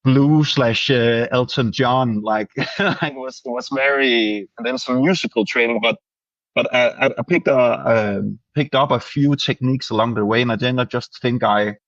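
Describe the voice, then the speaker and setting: A man's voice: mid-range voice, normal speed, a bit bright, no echo, highs cut off. A Danish man, a band's singer, answering interview questions hesitantly with many restarts. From Denmark. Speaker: band singer.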